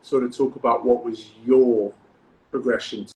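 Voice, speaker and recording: A man from the UK, a freediving coach, hosting a casual podcast interview in a relaxed, encouraging way, often repeating words as he starts a thought. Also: medium pitch, unhurried, reverberant, slight background noise, low-quality recording. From United Kingdom.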